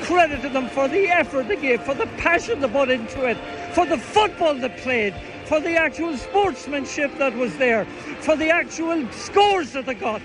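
A man's voice: very high voice, animated, moderate pace, few pauses, rough recording. An Irish man reacting to a football match, anguished and pleading with the players.

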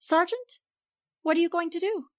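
An actress playing an inquisitive, poised newspaper reporter who asks polite, probing questions, in the style of old-time radio drama. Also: female voice, extremely high pitch, moderate pace, fairly bright tone. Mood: politely curious.

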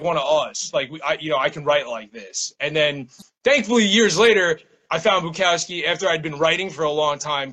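A man's voice: a little high, bright, forceful tone. A man in his thirties talking casually as an interview guest, slangy and peppering his speech with "like" and "man". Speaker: interview guest, poet and small-press publisher.

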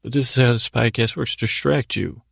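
Gravelly, rambling male podcast host. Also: mid-range voice, normal speed, a bit bright, dry recording, clean studio sound. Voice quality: gravelly, creaky. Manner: meandering, self-deprecating digressions. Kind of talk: rambling bedtime monologue, casual storytelling.

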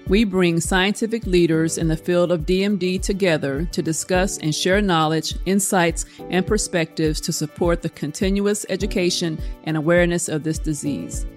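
A woman hosts a medical education podcast, reading her lines in a measured, formal way. Her voice sits a little low. Faint music plays under her words. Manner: measured, formal, read from a script. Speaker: podcast host, medical science liaison. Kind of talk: scripted podcast introduction and interview questions.

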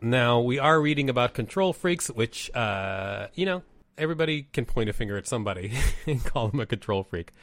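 A man's voice: medium pitch, lively intonation, a little fast, a bit bright, no room sound. A man co-hosting a podcast, reading articles aloud and adding casual commentary. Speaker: podcast co-host.

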